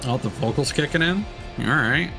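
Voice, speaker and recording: Male game streamer, casual let's play commentary over soft background game music. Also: fairly high pitch, animated, normal speed. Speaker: streamer playing a video game.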